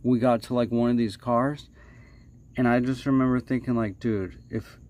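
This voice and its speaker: A man hosts a livestream in a casual storytelling style, with a middling pitch. He rambles along haltingly, doubling back on his words. His delivery is playfully dramatic, with drawn-out phrases and hushed asides. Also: steady tone. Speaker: livestream host.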